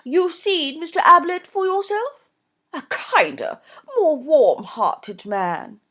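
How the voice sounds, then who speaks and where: Woman putting on a fussy plump mom, high and swoopy, super insistent. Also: very animated, slow pace, sharp tone, exaggerated voice. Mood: gushing. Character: gushing, emphatic middle-aged mother, plump.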